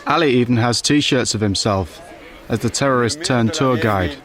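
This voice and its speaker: Guy narrating a documentary, plain n matter of fact, mid pitch, crowd noise behind. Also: moderate pace. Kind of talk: scripted narration.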